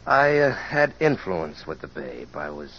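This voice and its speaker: An actor playing a cynical, wisecracking private investigator in an old radio drama, breezy and slangy with a raspy voice. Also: male voice, sits low, very animated, measured pace, a little hiss or hum, heavily acted. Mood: smug. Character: cynical, sardonic male private investigator, breezy wise guy. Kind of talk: 1940s-50s radio drama acting. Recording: dull old radio recording.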